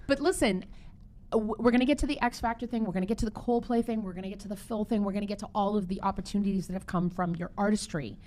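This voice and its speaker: A woman's voice: mid-range voice, very fast, darkish tone. A woman co-hosting a talk show, chatty and enthusiastic, with many "like"s and restarts. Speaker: co-host. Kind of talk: casual talk show chat.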